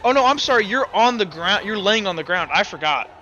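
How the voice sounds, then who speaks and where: A man's voice: high-pitched, lively intonation, a little fast, muffled recording. A man acting as game master in a tabletop roleplaying game, talking casually and swearing freely. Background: background music.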